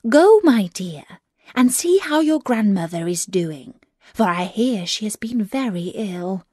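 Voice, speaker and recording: Woman playing a gentle caring storybook mom, slow, lively pitch, dry sound. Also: no room sound. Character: caring mother sending her daughter off.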